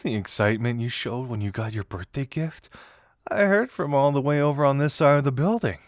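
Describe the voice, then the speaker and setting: A man plays a flirtatious male detective in a romantic audio roleplay, confessing as a secret admirer. His delivery is lively and swooping, teasing but earnest, with shy hesitations. The recording is close and dry. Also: mid-range voice, brisk, no echo, natural voice.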